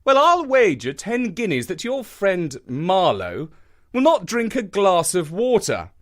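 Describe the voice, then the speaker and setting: A man doing an energetic confident detective. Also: sits high, a little slow, pitched above the performer's own voice, exaggerated voice. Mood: assured. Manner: energetic, crisp, precise pronouncements. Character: brisk, brilliant, self-assured male detective.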